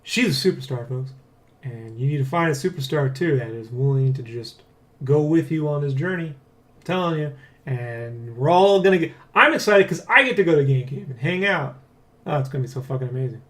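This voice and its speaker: A man hosting a development blog in casual, excitable, rambling chat, jokily boastful and swearing freely. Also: on the high side, a little fast. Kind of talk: casual vlog chat, addressing viewers.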